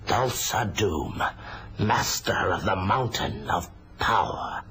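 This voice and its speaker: A wizened old hermit wizard speaks slowly and cryptically in an audio drama. The voice is very deep and swoops dramatically, with faint noise behind it. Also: male voice, animated, a bit bright. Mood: solemn, cryptic. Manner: slow, incantatory storytelling.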